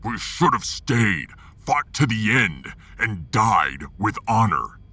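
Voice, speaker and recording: Man acting a deep, husky, combative space warrior. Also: very animated, slow pace, pushed and bright, dry recording, full character voice. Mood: bitter, defiant. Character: bitter, combative male warrior, starship security officer. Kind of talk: sci-fi audio drama acting.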